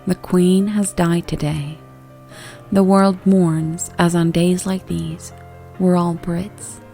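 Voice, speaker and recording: Low-voiced woman narrating creepy stories over music. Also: fairly even intonation, slow, warm tone. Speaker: horror story narrator.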